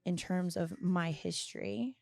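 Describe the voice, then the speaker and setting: A woman in her early twenties giving candid, reflective interview answers as a podcast guest, often halting and correcting herself. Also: fairly low pitch, creaky, a little mellow, dry recording. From United States, grew up partly in Florida and abroad. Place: small indoor lounge, on a leather couch. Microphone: close boom-arm podcast microphone with headphones.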